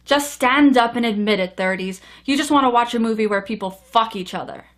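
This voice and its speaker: A woman's voice: on the high side, brisk. A woman reviewing an old film in a sardonic, casually profane comedic style.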